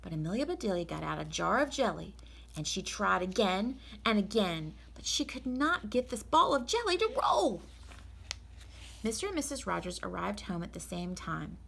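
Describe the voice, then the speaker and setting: A woman reading a children's story aloud as a teacher, pausing to explain things in an encouraging way. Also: medium pitch, very animated, creaky, a bit bright, slightly rough. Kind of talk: animated read-aloud with explanatory asides.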